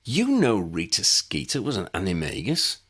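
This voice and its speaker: A man's voice: low voice, huge pitch swings, moderate pace, a bit bright, slightly rough, voice pushed up, heavily acted. Man doing a brash young wizard lad. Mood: blunt.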